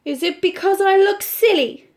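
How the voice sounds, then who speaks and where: A woman's voice: very high voice, normal speed, a little mellow, voice pushed up. A woman with an Irish accent voicing an anxious, gloomy male monkey who complains in a whiny, fretful way.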